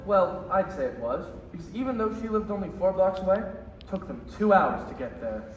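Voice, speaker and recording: A man's voice: high voice, very animated, a little fast. A young male actor playing an angel who narrates a life story in a stage radio play. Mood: explanatory. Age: teenager. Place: high school theater stage. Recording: echoey theater hall, distant mic, faint noise.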